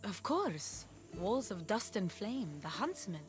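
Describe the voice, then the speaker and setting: An actress playing an earnest, gently insistent young mother in a video game drama. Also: medium pitch, big pitch swings, slow. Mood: reminiscing.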